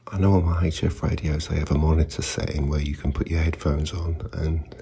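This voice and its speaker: Husky, very deep-voiced man. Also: little pitch movement, brisk, dark tone. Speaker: video maker, CB radio hobbyist. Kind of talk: casual unscripted demonstration.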